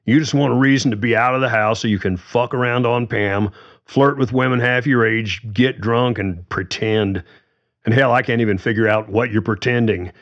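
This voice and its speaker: A man's voice: on the low side, a little slow, voice pushed up. A man voicing a frustrated middle-aged male musician, earnest and exasperated, swearing when pushed. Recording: dull lo-fi recording.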